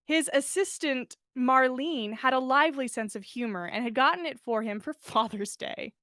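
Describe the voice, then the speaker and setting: A woman narrating fiction aloud as a podcast host, animated and expressive in the way she tells it. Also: sits high, moderate pace, no room sound, studio quality. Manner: animated storyteller, acts out dialogue. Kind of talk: expressive story narration, livestream read-aloud.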